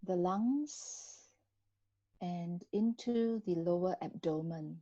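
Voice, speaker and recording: A woman guiding a body scan meditation in a gentle, unhurried manner with long pauses between phrases. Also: fairly low pitch, no echo, dull audio. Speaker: meditation guide.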